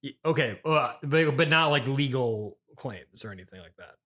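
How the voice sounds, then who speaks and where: A man hosting an improvised comedy interview, deadpan and probing, with a hesitant way of putting his questions. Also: mid-range voice, normal speed. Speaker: podcast host, interviewer.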